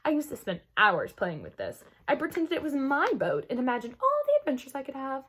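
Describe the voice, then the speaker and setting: A woman voicing a warm, nostalgic father in a storybook, exclaiming gently and wistfully. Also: high voice, wildly swooping pitch, a little fast, voice pushed up, heavily acted. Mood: fondly nostalgic.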